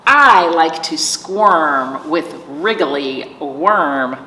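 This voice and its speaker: A woman's voice: low-pitched, expressive, drawn-out words, pushed and bright, full character voice. A woman voicing a cheerful little duckling in a singsong, rhyming children's picture-book read-aloud. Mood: cheerful, playful. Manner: bouncy, singsong rhyming delivery. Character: cheerful little duckling who loves rainy weather and quacking. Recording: echoey reverberant room, faint background noise.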